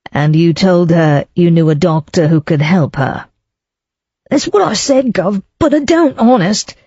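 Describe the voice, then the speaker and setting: A reader voicing a smarmy Cockney salesman in his thirties, nervous and wheedling, pushing the pitch up. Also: lively intonation, unhurried, darkish tone, husky, no echo. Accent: Cockney overlaid with refinement. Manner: wheedling, panicky, raised pitch. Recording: dull lo-fi, dry.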